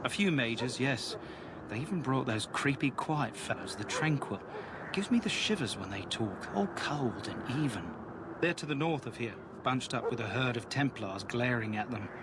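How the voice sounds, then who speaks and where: Over clearly noisy audio, a man acting as a plainspoken fantasy game soldier talks in a mid-pitched voice. He is colloquial and chatty, with a wry grumble, like a guard who has seen the whole camp. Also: expressive, moderate pace, lightly acted. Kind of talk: video game dialogue.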